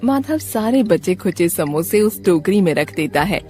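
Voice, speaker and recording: A woman narrates a cartoon storybook tale in a scripted, storytelling style. Her pitch sits in the middle for a woman, with music playing softly behind her. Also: expressive, unhurried.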